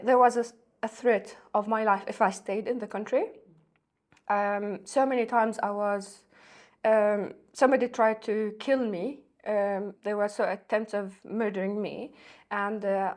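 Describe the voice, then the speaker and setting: A woman in her thirties, from Syria, talking as a podcast guest in a hesitant way with many pauses and restarts. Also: mid-range voice. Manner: soft-spoken, hesitant, with many ums and restarts. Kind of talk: casual interview talk.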